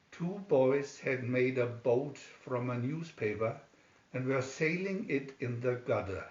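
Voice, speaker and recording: A man reads a children's fairy tale in a halting, deliberate way. His pace is very slow and his pitch sits in the middle for a man. The room is echoey around his voice. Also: a little mellow. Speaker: storybook reader. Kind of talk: scripted fairy tale narration. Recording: echoey room, dull lo-fi sound.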